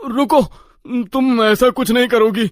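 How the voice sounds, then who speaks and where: A woman's voice: on the high side, fairly even intonation, a little slow, no room sound, studio quality, voice pushed down, lightly acted. A woman voicing an anxious, stubborn young man, a reluctant groom who protests in a cartoon story. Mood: alarmed, protesting.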